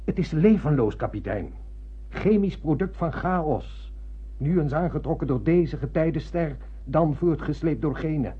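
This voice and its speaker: An actor playing a sober, dutiful ship's officer in a radio play, formal and earnest, with a husky voice. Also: male voice, a little high, expressive, measured pace. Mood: earnest, pleading. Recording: thin muffled phone-like radio play recording.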